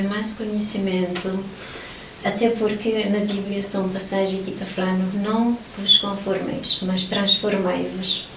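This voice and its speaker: A middle-aged woman from Portugal speaks as a guest, recounting personal experience. Her voice sits at a medium pitch. It is picked up from a distance in a roomy space with faint noise. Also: a bit bright. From Portugal (Lisbon). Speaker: interview guest, motivational event organizer. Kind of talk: personal life-story interview.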